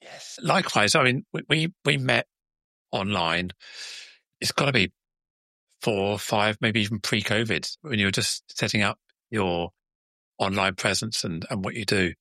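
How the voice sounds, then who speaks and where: Man, low pitch, bright forward tone, podcast host, chatty interview style, repeated words, dry close sound. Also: a little slow, no room sound. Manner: chatty, repeats words while forming questions. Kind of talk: conversational interview.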